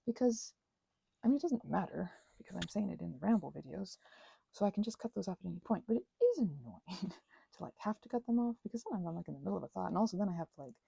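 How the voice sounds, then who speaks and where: A woman's voice: on the low side, big pitch swings, fast talker, creaky, warm tone, breathy edge, dry recording. A woman in a casual, rambling craft ASMR chat, self-deprecating and playfully sarcastic, often dropping toward a whisper and wandering off on tangents as she talks to herself. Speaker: channel host.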